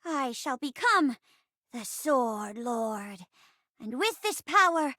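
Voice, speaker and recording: A noble little wood sprite from a video game's fantasy play. The voice is extremely high and bright, delivered slowly and grandly. Every phrase is earnest and melodramatic. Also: female voice, sing-song, dry recording, clean studio sound, full character voice. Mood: solemn, resolute. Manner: grand, formal, breathless theatrical delivery. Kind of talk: stagey fantasy play acting in a video game.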